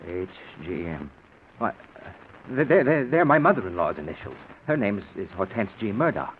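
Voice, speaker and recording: An actor playing a nervous, guilty husband in a 1940s radio drama, stammering and evasive with forced politeness. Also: male voice, on the low side, very animated, moderate pace. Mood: flustered, covering up. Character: nervous guilty husband hiding a crime, falsely solicitous. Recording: muffled noisy 1940s radio recording.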